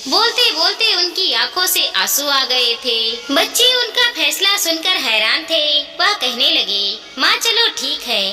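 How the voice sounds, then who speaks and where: A woman narrating a scripted moral story in an expressive, earnest way. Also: sits high, unhurried, hardly any pauses, faint music behind. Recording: echoey reverberant sound, clear background noise.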